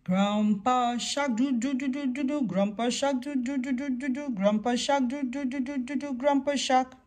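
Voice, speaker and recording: A woman's voice: high-pitched, steady tone, moderate pace, a little mellow. A woman reading a children's picture book aloud, breaking into sing-song refrains. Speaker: read-aloud story presenter.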